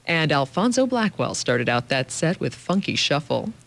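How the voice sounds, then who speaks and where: A woman's voice: sits low, big pitch swings, normal speed, gravelly. A woman with an American accent announcing songs as a radio DJ.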